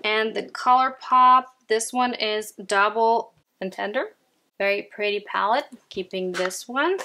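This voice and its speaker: A woman's voice: mid-range voice, measured pace, sharp tone. A woman with a Ukrainian accent chatting casually at home as a beauty vlogger, often turning to her viewers as "you guys". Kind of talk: casual makeup declutter chat. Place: at home, indoors.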